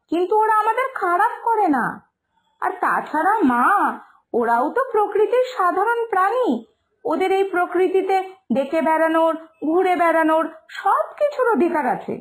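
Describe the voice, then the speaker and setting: A woman's voice: extremely high pitch, rough recording. A woman voicing a sensible, outspoken young daughter in a cartoon moral tale, lecturing earnestly. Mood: explaining, earnest. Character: sensible, outspoken young daughter arguing patiently against superstition. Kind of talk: Bengali moral-story cartoon dialogue. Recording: music behind the voice.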